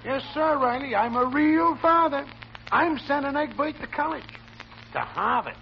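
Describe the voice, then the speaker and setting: A man's voice: extremely high pitch, expressive, moderate pace, creaky, full character voice. An actor playing a boastful, needling factory worker and father in a 1940s radio comedy. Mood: boastful, scornful. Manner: mocking, boastful, argumentative. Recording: muffled, hissy vintage radio recording.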